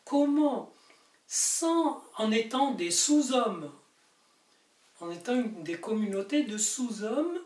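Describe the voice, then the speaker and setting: An older man from Morocco speaks slowly and deliberately, his voice carrying the room's reverb. He sits back from the camera in a book-lined study, and light hiss runs under the audio. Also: very high voice, recorded from a distance. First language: Arabic. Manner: animated, gesturing, rising to emphatic peaks. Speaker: author. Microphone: camera mic from a distance.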